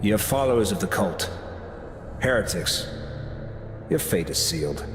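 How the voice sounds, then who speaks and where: A stern and devout game inquisitor with a lowish voice. He speaks formally and accusingly, with measured defiance. His words ring with long hall reverb over music. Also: lively intonation. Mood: grim. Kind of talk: dramatic video game acting.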